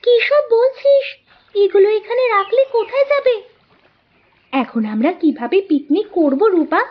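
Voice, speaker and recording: A performer voicing a bossy, quick-witted village girl, the big sister in a cartoon. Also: very high voice, very animated. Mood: alarmed. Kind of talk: cartoon voice acting. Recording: dull lo-fi audio.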